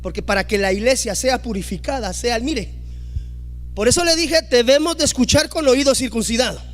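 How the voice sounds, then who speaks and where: High-voiced man preaching emphatically. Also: moderate pace, faint music behind. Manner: emphatic, rising to loud exclamations, acting out quoted dialogue. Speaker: pastor. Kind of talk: preaching a sermon.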